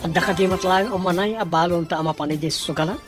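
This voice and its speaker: A woman's voice: on the low side, fast talker, loud music behind the voice, natural voice. A woman acting in a radio drama as a sly, greedy accomplice who eggs on a plot. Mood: urging, greedy.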